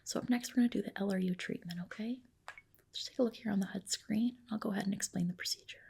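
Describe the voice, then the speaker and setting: A woman whispering softly and breathily in a soothing, reassuring ASMR roleplay as a dermatologist, close to the microphone. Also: mid-range voice, creaky, husky. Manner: soothing, reassuring, unhurried explanations.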